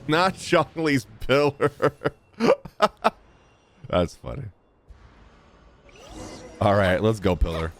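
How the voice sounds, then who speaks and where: A man's voice: mid-range voice, wildly swooping pitch, extremely slow, long pauses, fairly bright tone, slight background noise. A man giving casual, enthusiastic, slangy gameplay commentary as a streamer. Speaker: game streamer. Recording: game music behind voice. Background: game music.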